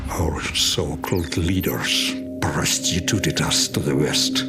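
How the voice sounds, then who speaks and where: A man playing a bitter, vengeful ultranationalist warlord delivering ominous oratory in a video game, over loud music. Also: a bass voice, slow pace, exaggerated voice. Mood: bitter, brooding. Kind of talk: menacing game villain monologue. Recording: loud dramatic music behind.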